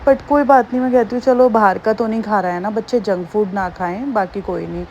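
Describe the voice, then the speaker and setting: A woman in her thirties with a slightly hoarse voice, chatting homely and rambling through her daily vlog. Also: mid-range voice, fairly quick, mellow, lo-fi sound, a little hiss or hum. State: hoarse, slightly sore throat. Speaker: vlogger. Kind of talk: casual daily-life vlog narration.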